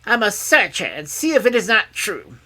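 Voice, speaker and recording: A mean little old crone from a storybook. Her voice is pitched shrilly high and cuts sharply. She grumbles, scorns and haggles, swooping up and down with greedy mockery. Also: sing-song, moderate pace, bright, forceful tone, rough recording. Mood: scornful, grumbling. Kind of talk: storybook character voice.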